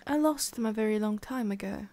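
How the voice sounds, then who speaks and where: Woman playing a haughty, prickly forest witch, soft-spoken. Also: medium pitch, lightly acted. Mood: wistful, halting. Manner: condescending, halting, soft-spoken with whispery breaths. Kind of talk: ASMR roleplay.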